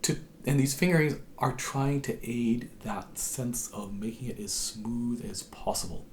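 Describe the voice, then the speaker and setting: A hoarse man with a cold, patiently explaining how to play a tune as a harp teacher, his voice sometimes dropping to a whisper. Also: mid-range voice, measured pace, slight background noise. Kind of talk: instructional tutorial.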